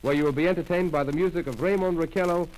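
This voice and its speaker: A man reading a weather report and station links in a 1930s radio drama, flatly and routinely. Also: a little high, quick pace, natural voice, low-quality recording. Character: plain male weather-report announcer. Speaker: radio announcer.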